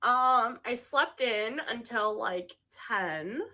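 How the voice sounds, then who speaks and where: A woman hosting a casual podcast, relaxed and candid, giggling as she talks about her day. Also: fairly high pitch, extremely slow. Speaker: podcast host.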